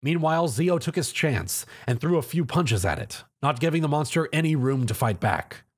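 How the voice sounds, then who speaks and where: A man's voice: fairly high pitch, animated, moderate pace, slightly rough. A man reading scripted narration of an action story.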